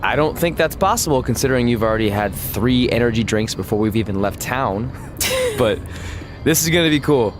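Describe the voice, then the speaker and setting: A woman's voice: extremely low pitch, wildly swooping pitch, brisk, music in the background, exaggerated voice. An actress playing a teasing, level-headed young woman wrestler in a video game cutscene. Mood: wry.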